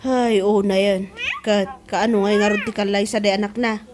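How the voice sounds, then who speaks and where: A woman's voice: medium pitch, little pitch movement, normal speed, background noise, lightly acted. A woman playing a chatty, proud middle-aged mother who gossips, in a comedy radio drama with an Ilocano accent. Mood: curious, gossipy. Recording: music under the voice.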